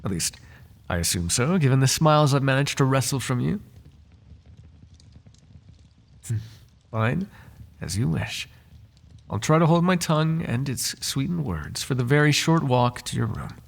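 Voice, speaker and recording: A man's voice: mid-range voice, lively intonation, normal speed, vocal fry. A man playing a devoted, lovesick butler in an intimate ASMR roleplay, flirting with his master in formal, courtly, teasing and tender words. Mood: teasing, flirtatious.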